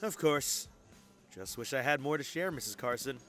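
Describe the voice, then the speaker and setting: A man's voice: medium pitch, animated, normal speed, a bit bright, soft background music, natural voice. A man playing a tired, courteous police detective. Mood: regretful. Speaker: actor in audio drama. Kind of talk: naturalistic audio drama dialogue.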